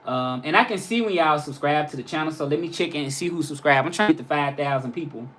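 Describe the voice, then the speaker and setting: A man's voice: fairly high pitch, fast, a bit bright. A man in his thirties from Memphis with a Southern accent, rambling and chatting casually with viewers on a live stream. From Memphis, Tennessee. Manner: rambling, chatty, repeats himself. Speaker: vlogger answering live chat.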